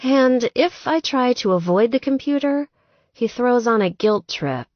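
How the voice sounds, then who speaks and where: A woman's voice: on the high side, expressive, measured pace, no room sound, lo-fi sound, pitched above the performer's own voice. A woman voicing a sweet, distressed young woman gamer in an audiobook, plaintive and exasperated. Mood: fed up. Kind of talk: audiobook character dialogue.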